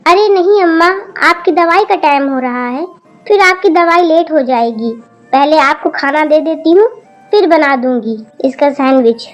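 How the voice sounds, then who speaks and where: A woman voicing a patient mother who calmly placates her family. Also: high voice, moderate pace, soft background music. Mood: soothing. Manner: calm, appeasing, gentle. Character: patient, soft-spoken mother of a grown daughter.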